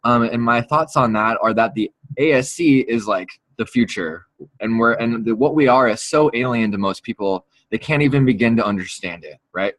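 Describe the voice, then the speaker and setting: A man's voice: mid-range voice, moderate pace, a bit bright, dry recording, poor audio. A man hosting a business meeting, laying out a partnership proposal point by point in an earnest way. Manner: earnest, explains step by step. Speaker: meeting host.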